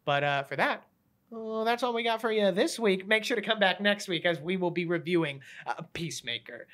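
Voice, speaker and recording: Podcast host, young man, higher-pitched and very animated, jokey and slangy in casual film-review banter. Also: brisk. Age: young adult. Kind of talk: casual movie review chat.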